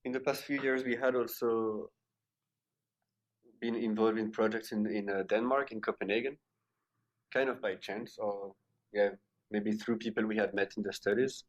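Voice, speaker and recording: A man's voice: on the low side, steady tone, normal speed, pauses often, low-quality recording. A man from French-speaking Switzerland, an architect in his thirties, answering podcast questions in a hesitant, thoughtful way with many fillers. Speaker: architect, guest.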